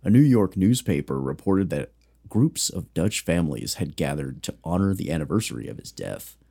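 A man with a very low, mellow voice chats casually as a co-host. He rambles through facts with lots of ums and restarts, keeping a jokey tone. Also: very animated, moderate pace, vocal fry. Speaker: podcast co-host. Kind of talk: casual chat.

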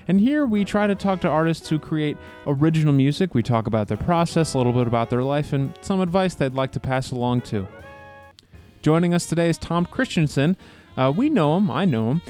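A man's voice: mid-range voice, darkish tone. A man hosting a podcast and interviewing a musician in a friendly, chatty way. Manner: friendly, chatty, laughs easily. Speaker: podcast host. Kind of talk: conversational interview.

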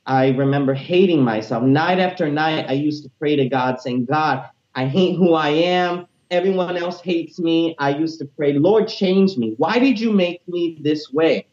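A man in his late twenties with a high tenor voice, telling his personal story as an interview guest in an animated, energetic way. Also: a little slow, few pauses, highs cut off. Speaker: interview guest, teacher and music minister.

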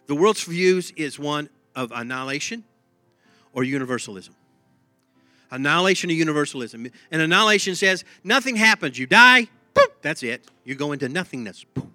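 A man's voice: fairly high pitch, expressive, brisk, pushed and bright, dry recording, soft background music. A man with a Texan accent preaching a sermon in an emphatic, conversational way, often repeating his phrases. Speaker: pastor.

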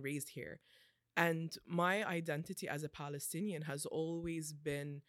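A woman's voice: deep voice, no echo, studio quality. A woman in her twenties hosting a podcast, talking earnestly and personally, with her intensity building. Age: 20s. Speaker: podcast host. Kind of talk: personal reflective talk.

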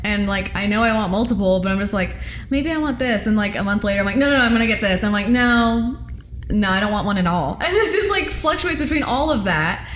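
A woman's voice: mid-range voice, lively intonation, brisk, a bit bright, reverberant, a little hiss or hum. A woman in her twenties co-hosting a podcast in casual chat, rambling with lots of fillers and poking fun at herself. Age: 20s. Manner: rambling, lots of "like", self-mocking. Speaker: podcast co-host.

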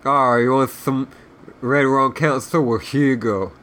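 A man's voice: mid-range voice, steady tone, a little slow, a bit bright, lightly acted. A man voicing a surly, self-loathing sprite guide who rambles sarcastically and swears as he insults, in a comic read-aloud. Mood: rambling, self-loathing. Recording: clearly noisy background.

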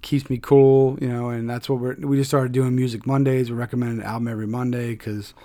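A man's voice: medium pitch, level tone, quick pace, dark tone, dry recording. A man, hosting an interview podcast in an enthusiastic, slangy, rambling way. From Philadelphia, US. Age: 30s. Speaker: podcast host. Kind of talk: casual interview chat.

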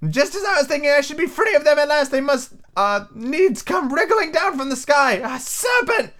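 A man's voice: very high voice, expressive, brisk, fairly bright tone, voice pushed up. A man voicing an indignant, distressed female pigeon in a storybook, scolding and shrieking. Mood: shrieking despair. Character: shrill, indignant, distressed female pigeon guarding her eggs. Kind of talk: storybook character voice.

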